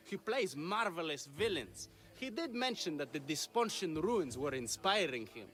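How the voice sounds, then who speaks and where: A self-important ancient Greek playwright in a video game. His voice is high and bright, swooping freely as he makes effusive, grandiose pronouncements. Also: very animated, normal speed. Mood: grandly confiding. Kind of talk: video game dialogue acting.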